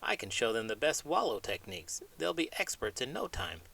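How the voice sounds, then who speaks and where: Man voicing a cocky talking pig, swooping pitch. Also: big pitch swings, a little fast, creaky, pushed and bright, slightly rough, exaggerated voice. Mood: cheerful, breezy. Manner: boastful, breezy, teasing. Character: cocky, carefree talking pig, proud parent.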